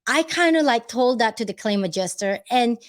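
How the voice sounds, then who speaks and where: A woman's voice: a little high, expressive, moderate pace. A woman with a Filipino accent talking casually to her viewers, rambling and stopping often to search for the right words. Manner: chatty, laughs easily. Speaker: vlogger giving advice. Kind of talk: casual talk to camera.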